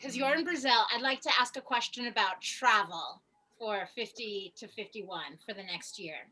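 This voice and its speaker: A woman's voice: mid-range voice, normal speed, sharp tone. A woman hosting a group video call, chatting casually and informally. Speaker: host. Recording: noisy video call audio with clicks.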